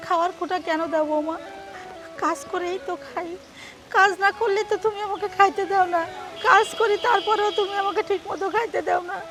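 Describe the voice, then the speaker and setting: A frail old mother-in-law, feverish and meek, pleads in a very high, thin voice, as a woman acts her out. Her words come soft and imploring, over clear background music. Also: fairly even intonation, fairly quick. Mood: hurt complaint. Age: older. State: feverish and unwell. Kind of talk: melodramatic domestic drama acting.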